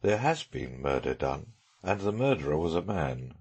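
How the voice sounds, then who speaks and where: A man voicing a brilliant, self-assured male detective with dry, measured sarcasm in an audiobook. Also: extremely low pitch, sing-song, slightly rough, pitched below the performer's own voice, heavily acted. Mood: confident, brisk.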